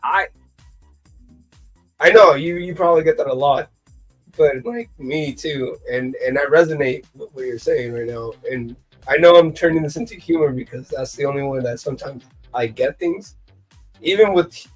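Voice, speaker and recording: A man's voice: fairly high pitch, normal speed, soft background music, rough recording. A man from California chatting on a live stream, joking and laughing through his stories. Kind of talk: casual live-stream chat.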